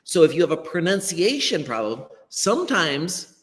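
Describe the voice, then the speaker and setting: Middle-aged Western American man, earnest teacher on a video call, lively delivery, reverberant room. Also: fairly high pitch, normal speed. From western United States. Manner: earnest, emphatic with frequent restarts. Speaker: guest, language teacher. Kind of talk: live-stream interview. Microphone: webcam on a video call. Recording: long hall-like reverb.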